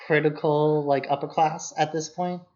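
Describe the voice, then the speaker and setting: A man's voice: on the high side, fairly even intonation, moderate pace, darkish tone. A man co-hosting a podcast, explaining film matters in an informal, casual discussion. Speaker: podcast co-host. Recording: dull, muffled lo-fi podcast audio.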